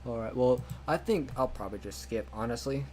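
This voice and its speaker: A young man chatting loosely while he streams a game, circling back on his words and laughing easily. His voice sits at an ordinary middle pitch. A faint hum sits under his speech. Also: fairly quick, darkish tone. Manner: rambling, full of restarts and "like". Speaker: streamer playing a game mod. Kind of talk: casual chat over a game stream.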